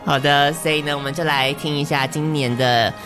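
A woman's voice: low voice, fairly even intonation, normal speed, over music. A woman with a Taiwanese Mandarin accent co-hosting a podcast, moving between casual banter and storytelling. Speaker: podcast co-host.

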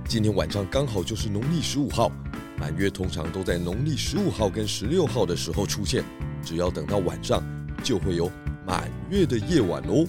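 A man playing a calm, wise pig detective who explains things patiently in a children's audio drama. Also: on the low side, fairly quick, over loud music. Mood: patient, reasoning.

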